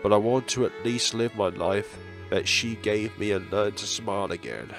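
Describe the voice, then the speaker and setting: Guy w a lowish voice doing reflective game commentary, kinda halting, music playing clearly behind him. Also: lively intonation. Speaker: gaming channel host. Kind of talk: reading visual novel text, reflective commentary.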